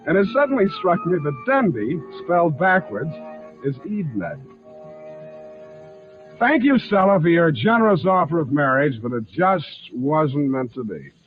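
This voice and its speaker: A man playing a sardonic, deadpan hard-boiled private detective in the style of 1940s radio noir. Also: fairly high pitch, a little slow. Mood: mock grand. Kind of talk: 1940s radio acting, first-person noir narration. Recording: old lo-fi radio recording with music.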